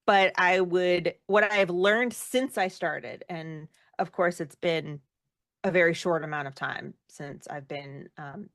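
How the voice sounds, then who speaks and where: A woman with a fairly low voice, recorded close and dry, speaks as an interview guest. She is candid and reflective, working out her thoughts aloud and often rephrasing as she goes. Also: dry recording. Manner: candid, thinks aloud with many self-corrections. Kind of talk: casual reflective interview answers.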